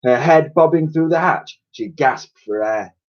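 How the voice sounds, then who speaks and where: A man's voice: fairly high pitch, lively intonation, unhurried, lo-fi sound. A man reading a children's story aloud like a teacher, with theatrical, suspenseful narration and emphatic sound effects spoken by mouth.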